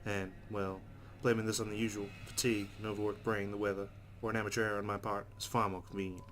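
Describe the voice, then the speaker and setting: Low-voiced man over noisy background. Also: fast. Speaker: let's play host reading game text aloud. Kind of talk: reading visual novel narration with casual reactions. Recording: steady loud background noise.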